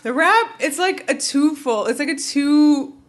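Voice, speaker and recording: A woman's voice: high voice, lively intonation, unhurried. A woman co-hosting a podcast, chatting casually through a TV recap in a giggly, conversational way peppered with "like.". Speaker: podcast co-host.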